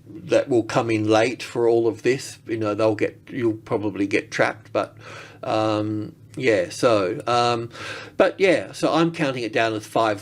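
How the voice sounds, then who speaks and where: A man giving casual trading commentary as a market analyst, thinking aloud in a rambling way and often rephrasing himself. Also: on the low side.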